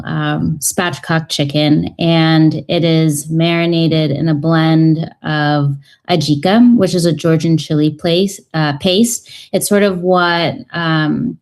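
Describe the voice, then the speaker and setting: A woman in her thirties, a cookbook author guest on a radio show, talking in a thoughtful way with many ums and uhs. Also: low voice. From United States. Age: 30s. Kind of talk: radio interview.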